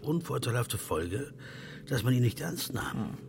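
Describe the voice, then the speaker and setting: A man performing as a dry, deliberate storyteller in a literary radio play, pointed and gravelly in delivery. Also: mid-range voice, big pitch swings, music in the background. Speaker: radio drama narrator.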